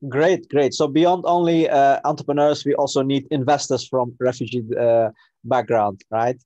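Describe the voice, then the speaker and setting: A man's voice: mid-range voice, steady tone, normal speed, dark tone, no room sound, highs cut off. A man from Afghanistan moderating an online panel, hesitant with many fillers and restarts.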